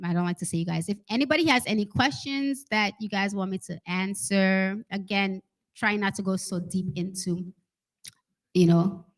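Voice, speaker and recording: A woman speaking informally to an audience as a children's author, chatty and expressive, often checking in with her listeners. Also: mid-range voice, normal speed, poor audio. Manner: chatty, expressive, addresses listeners with "right?" and "okay?". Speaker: special education teacher. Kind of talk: informal talk and read-aloud to an audience.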